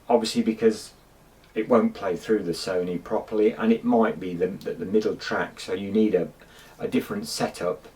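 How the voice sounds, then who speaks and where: A man's voice: fairly low pitch, darkish tone, distant mic. Rambling middle-aged Englishman, slightly off-mic. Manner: rambling, many ums and restarts. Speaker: tape recorder collector. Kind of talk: casual chat to camera.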